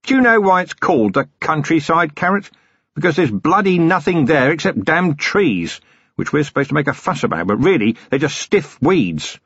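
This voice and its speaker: A man voicing a gruff, weary, middle-aged city police commander, sardonic and full of complaint, for an audiobook. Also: mid-range voice, big pitch swings, moderate pace, a bit bright, no echo, lo-fi sound, pitched above the performer's own voice. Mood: grumbling.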